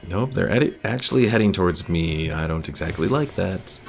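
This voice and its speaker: Guy with a deep voice doing chill game stream commentary, some music behind him. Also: lively intonation, fairly quick, vocal fry. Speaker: livestreamer, host of a gaming stream. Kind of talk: casual strategy game commentary, chatting with viewers.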